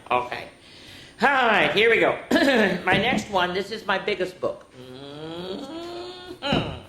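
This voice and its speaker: Animated woman reading stories to children. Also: fairly low pitch. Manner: chatty, interactive, prompting young listeners to join in. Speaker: children's story-time host. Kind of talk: animated read-aloud storytelling for children. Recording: roomy echo with background noise.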